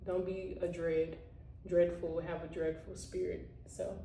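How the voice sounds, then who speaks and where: A woman's voice: fairly low pitch, flat delivery, a little slow, creaky, mellow, a little hiss or hum. A woman giving a casual motivational talk to her viewers, full of "you know" and "like.". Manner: conversational, heavy use of "you know" and "like". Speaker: vlogger. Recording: roomy echo, voice a bit far from the mic.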